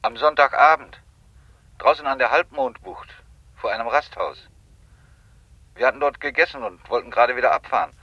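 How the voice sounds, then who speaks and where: Through a dull, dry telephone filter comes an earnest clerk in a radio crime play. His voice is mid-pitched, polite and careful, deferential like a subordinate. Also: normal speed, pauses often, pushed and bright, breathy edge, no room sound. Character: earnest, deferential male payroll clerk giving testimony. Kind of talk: German radio crime drama acting. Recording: dull band-limited telephone sound.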